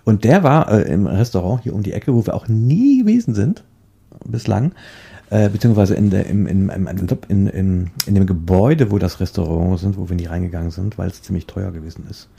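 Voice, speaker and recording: A man chatting casually in a rambling, halting way, often repeating words as he searches for the next one. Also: low voice, lively intonation, gravelly, warm tone, husky.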